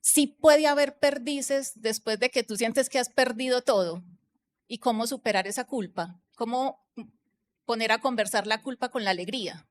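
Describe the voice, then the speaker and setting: A woman's voice: on the high side, moderate pace. A woman moderating a festival panel, posing questions in long, flowing turns. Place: stage at a public festival panel.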